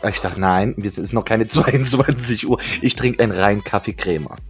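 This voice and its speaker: Ein Mann in seinen Zwanzigern, Co-Moderator eines Podcasts, plaudert locker und scherzt viel. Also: male voice, fairly low pitch, normal speed. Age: 20s. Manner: laughs often, joking. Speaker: podcast co-host. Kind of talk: casual chat.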